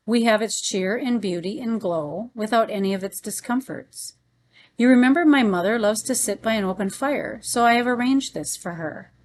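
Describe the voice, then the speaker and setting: A gentle young man read by a woman speaks as an eager nephew in a storybook. He is wistful and earnest in his manner. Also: on the high side, normal speed, slight background noise, natural voice. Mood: proud, earnest.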